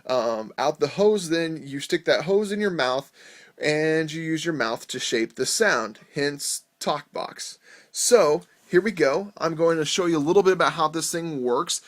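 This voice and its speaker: Casual American man, halting how-to talk. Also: on the high side, lively intonation. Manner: halting, informal, thinking aloud. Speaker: host of a DIY music gear video. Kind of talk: casual hands-on demonstration, unscripted.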